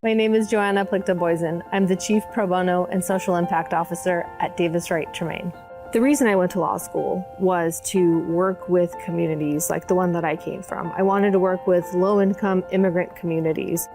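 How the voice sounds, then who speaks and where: Woman, polish first language, interview clip, earnest and steady, lowish voice, bit of music behind. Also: moderate pace. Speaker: pro bono program director. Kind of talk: documentary interview.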